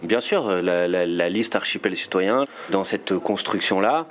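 A man's voice: low voice, unhurried, background noise. A man giving explanatory political answers in an interview.

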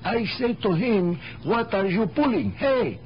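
An actor playing a warm, excitable immigrant shopkeeper with a Spanish accent in a radio drama, effusive and fatherly, on a muffled broadcast. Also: sits high, a little slow, a little hiss or hum. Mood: excitable, jovial. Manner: effusive, soothing, colorful foreign phrasing.

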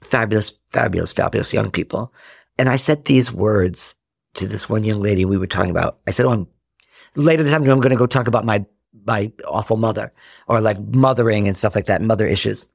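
A man in his forties from Rhode Island with a low, gravelly, husky voice. He tells candid stories and breaks into acted-out family dialogue. The sound is dry and close. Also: a little fast, no room sound. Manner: animated, acts out quoted family dialogue. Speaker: podcast guest. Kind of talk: candid storytelling in conversation.

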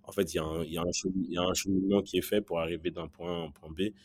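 Very low-pitched man telling his story. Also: fairly even intonation, racing. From born in Central Africa, raised in Belgium from early childhood. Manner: hesitant, repeats words as he searches for phrasing. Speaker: podcast guest. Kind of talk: personal storytelling in an interview.

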